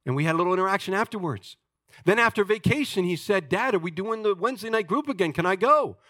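Pastor, man, 60s; high, bright voice, dry recording, emphatic and humorous sermon delivery. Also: expressive, fast talker, dry recording. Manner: emphatic, jokey preaching with wide swings in loudness.

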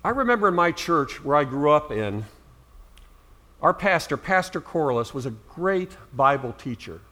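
High bright-voiced preacher. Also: male voice, sing-song, normal speed. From Ohio, US. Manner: earnest, emphatic rises on key words. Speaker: pastor. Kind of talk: sermon.

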